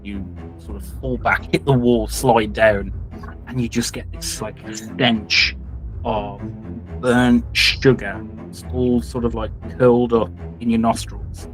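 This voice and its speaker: A man improvising tabletop game narration, telling it in a halting, suspenseful way. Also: mid-range voice, fairly even intonation, rough recording. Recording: music playing behind the voice.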